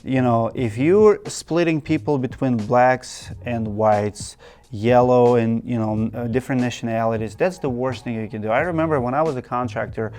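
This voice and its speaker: A man's voice: medium pitch, moderate pace, darkish tone. A man from Russia with a Russian accent, hosting his channel and giving earnest, direct advice to camera point by point. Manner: earnest, direct, list-by-list advice with emphatic repetition. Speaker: channel host.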